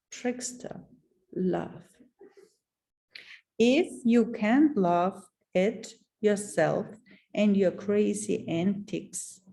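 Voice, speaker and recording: A woman reading a text aloud as a narrator, in a theatrical, deliberate storytelling way with dramatic pauses. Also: medium pitch, warm tone.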